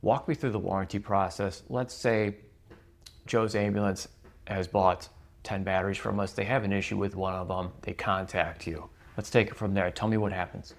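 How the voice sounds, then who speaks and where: Low, gravelly male interviewer. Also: steady tone, moderate pace. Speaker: interviewer from the company. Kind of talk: conversational interview questioning.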